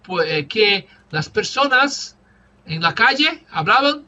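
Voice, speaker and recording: A man whose first language is Portuguese, practicing conversation as a language student and pausing often to search for words. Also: high-pitched, sing-song, highs cut off, background noise. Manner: halting, searching for words, many fillers.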